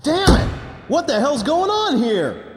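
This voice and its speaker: A man playing a boyish, excitable young fighter pilot in a game, talking in an animated, informal way. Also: very high-pitched, measured pace, full character voice. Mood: exasperated. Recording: roomy echo.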